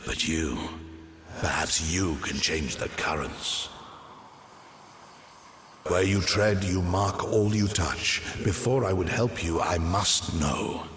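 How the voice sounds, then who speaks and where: An ancient, colossal tree guardian from a fantasy game. The voice is extremely low and unhurried, grave and cryptic, with stately phrasing. It rings out in a long reverb. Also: male voice, fairly bright tone, a little hiss or hum. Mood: solemn, watchful. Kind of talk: scripted fantasy game dialogue.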